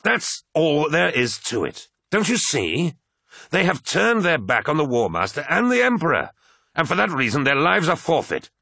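A man voicing a grim, belligerent warrior captain who speaks in blunt, forceful declarations, in an audiobook style. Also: a little high, wildly swooping pitch, moderate pace, creaky, slightly rough, no room sound, clean studio sound, voice pushed up, exaggerated voice. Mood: scornful, emphatic.

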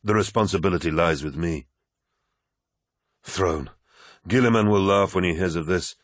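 A man voicing a dying ancient male warlord who speaks in a rasping, wheezing voice. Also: sits low, normal speed, no echo, studio quality. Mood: weary, grave.

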